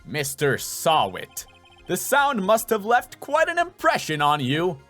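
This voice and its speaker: A man performs an earnest young defense lawyer from a courtroom video game. He speaks emphatically and theatrically, with a widely swinging pitch and deliberate pacing, over faint music. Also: wildly swooping pitch, sharp tone, heavily acted. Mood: confident, pressing. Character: earnest, excitable young male defense attorney. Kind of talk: dramatic video game character dub.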